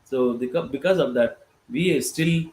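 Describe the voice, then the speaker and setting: A man from India with an Indian accent, whose first language is Gorboli, speaking as a panelist in a halting way with many fillers and restarts. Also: medium pitch, darkish tone, roomy sound. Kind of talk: panel discussion talk.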